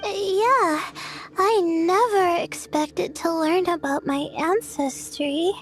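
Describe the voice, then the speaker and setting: An actress playing an earnest young woman student who speaks hesitantly and gets flustered. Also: very high voice. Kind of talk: video game voice acting. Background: game music.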